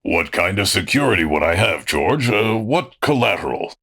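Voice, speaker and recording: Gravelly, sneering old miser. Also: male voice, sits low, big pitch swings, bright, forceful tone, full character voice. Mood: cold, scornful. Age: older. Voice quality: gravelly, raspy. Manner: contemptuous, drawn-out taunting. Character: miserly, sneering, warped old rich man. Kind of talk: old-time radio drama acting.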